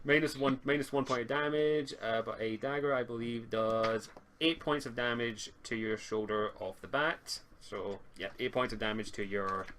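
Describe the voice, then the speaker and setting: A man's voice: medium pitch, steady tone, normal speed, a bit bright. Man running a tabletop game, faintly noisy mic. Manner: informal, improvised descriptions. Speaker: game master. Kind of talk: narrating a tabletop roleplaying game. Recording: noisy home mic with clicks.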